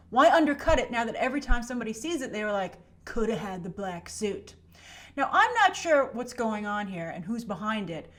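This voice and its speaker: A woman hosting an online film commentary show, speaking in an emphatic, conversational way and often checking in with rhetorical questions. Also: medium pitch. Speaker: YouTube host and film commentator. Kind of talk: opinionated commentary to camera.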